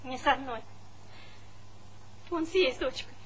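A woman's voice: high-pitched, a little slow, a bit bright. An actress playing a distressed, scolding village mother with plaintive, folksy rural speech in a stage comedy. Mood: aggrieved, confiding. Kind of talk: theatrical stage comedy acting. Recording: noisy 1970s Soviet theatre recording.

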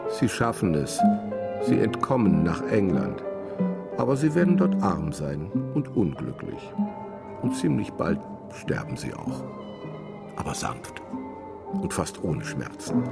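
Wry, urbane professor, middle-aged, musing and formal, lowish lively voice, radio play. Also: male voice, a little slow, gravelly, long pauses, heavily acted. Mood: serene, resigned. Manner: musing, dryly ironic, formal. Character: male professor, urbane, wry, serene while dying. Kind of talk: radio drama acting. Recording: studio radio drama under loud music.

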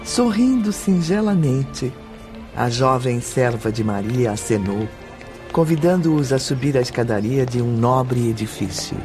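A man narrating a spiritual radio drama as a solemn storyteller, with measured, descriptive delivery. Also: mid-range voice, expressive, over music, natural voice. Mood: solemn, reverent.

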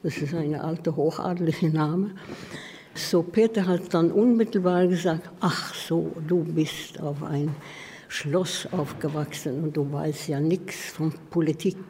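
An older woman with a foreign accent who is not a native German speaker, recalling personal memories in halting, anecdotal phrases. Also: deep voice, warm tone. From outside Sweden. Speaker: interviewee, artist recalling her life partner. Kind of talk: personal reminiscence. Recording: long hall reverb, noisy background.

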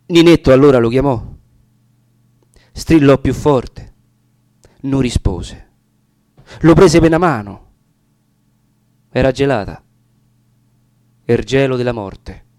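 Roman guy, medium pitch, reading old dialect verse all dramatic and grave, really dry recording. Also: slow, pauses often, darkish tone, dry recording. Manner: solemn, theatrical. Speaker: reader of dialect poetry. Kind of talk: dramatic recitation of narrative verse.

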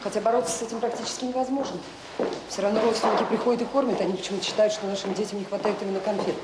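An actress playing a dutiful orphanage director in a radio drama, polite but pointed, firm and dryly ironic. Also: female voice, medium pitch, steady tone, racing, heavy background noise, close to an everyday voice. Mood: businesslike, patient. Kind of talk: naturalistic Soviet radio drama acting.

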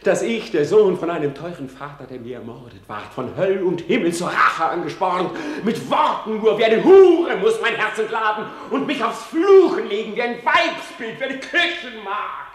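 An actor playing a tormented, self-loathing prince in a classical radio drama, declaiming in a way that swings between whispers and outcries. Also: male voice, high-pitched, very animated, normal speed, few pauses. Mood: raging. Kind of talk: classical stage-style Shakespeare radio drama monologue. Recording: roomy, echoing radio drama studio.

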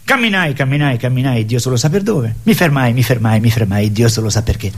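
A man's voice: medium pitch, animated, brisk, over loud music, close to an everyday voice. A man playing an inquisitive, wandering fellow in an absurdist theatre sketch. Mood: repetitive, wandering.

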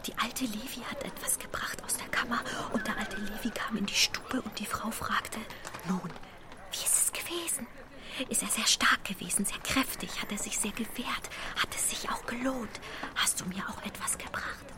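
An actress playing a timid, gossiping young maidservant in a radio play, speaking in a furtive, hesitant, breathy whisper. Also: female voice, on the high side, moderate pace, natural voice.

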